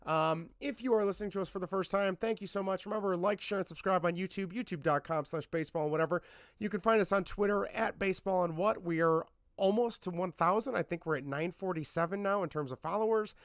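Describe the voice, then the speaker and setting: A man in his thirties hosting a casual podcast, hoarse from laryngitis, chatty and self-deprecating. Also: sits high, quick pace, dry recording, studio quality. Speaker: podcast host.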